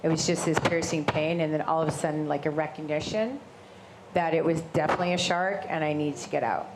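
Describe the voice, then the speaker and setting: Woman, big animated voice, lowish pitch, live talk to an audience, noisy room recording. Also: a little fast. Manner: big voice, animated, gestures while talking. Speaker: shark attack survivor and guest speaker. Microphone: handheld microphone.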